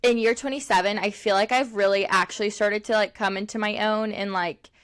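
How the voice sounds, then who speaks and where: Twenties woman co-host, loose and chatty, leans on "like", laughs easily, medium pitch. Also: fairly even intonation, a little fast, fairly bright tone, clean tone. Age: 20s. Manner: chatty, rambling, heavy use of "like", laughs often. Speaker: podcast co-host. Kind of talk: casual chat.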